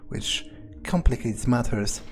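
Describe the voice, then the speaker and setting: A man playing a sardonic, pragmatic vampire, muttering his thoughts aloud in a hesitant, deadpan way as in-character game commentary. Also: mid-range voice, takes it slowly, soft background music. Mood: musing, muttering. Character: sardonic, pragmatic male vampire sneaking around. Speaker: game player roleplaying a character.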